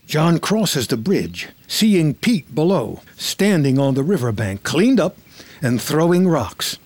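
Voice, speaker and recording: A man with a husky voice reading scripted, present-tense screenplay narration as a storyteller. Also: fairly high pitch, sing-song, slow, a little mellow, no echo.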